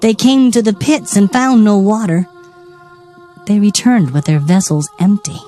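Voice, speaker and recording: A woman's voice: medium pitch, measured pace, dark tone, faint music behind. A woman narrating dramatized scripture in a solemn, declamatory manner. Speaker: Bible narrator.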